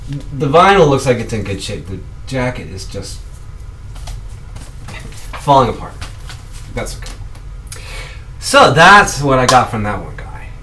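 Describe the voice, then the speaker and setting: A man's voice: lowish voice, sharp tone, recorded from a distance. A man hosting his own video, chatting casually and rambling as he shows the records he found. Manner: rambling, chatty, with many ums and restarts. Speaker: vlog host showing records. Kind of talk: casual show-and-tell. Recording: voice a bit off-mic, faint background noise.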